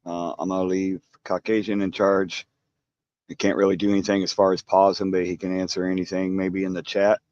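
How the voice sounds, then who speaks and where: A man's voice: low voice, completely flat delivery, brisk, darkish tone, no echo, highs cut off. A man hosting a live stream, talking laid-back and folksy to his viewers. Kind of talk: casual aside to a stream audience.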